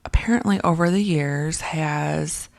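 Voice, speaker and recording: A woman with a voice gone raspy from whispering, talking through a casual commentary video, rambling with many fillers and restarts and often clearing her throat. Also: low-pitched, measured pace.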